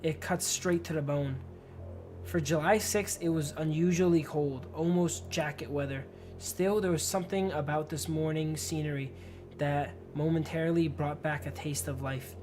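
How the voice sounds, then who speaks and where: Guy streaming a visual novel, reads it out loud, slangy, trips over long words, music playing behind him. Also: fairly high pitch, fairly even intonation, moderate pace. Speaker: livestreamer reading a visual novel aloud. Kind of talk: narrating game text, casual chat with viewers.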